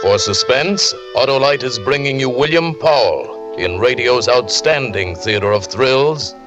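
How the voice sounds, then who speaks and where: A male announcer giving a measured, dramatic scripted introduction to a vintage radio drama. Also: mid-range voice, animated, loud music behind the voice. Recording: muffled vintage radio recording.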